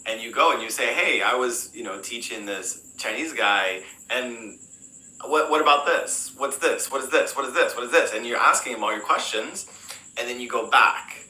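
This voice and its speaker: Bright-voiced American man, rambling casually. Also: mid-range voice, moderate pace, roomy sound, poor audio. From United States. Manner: relaxed, rambling, frequent fillers and restarts. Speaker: English teacher. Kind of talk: casual interview answers.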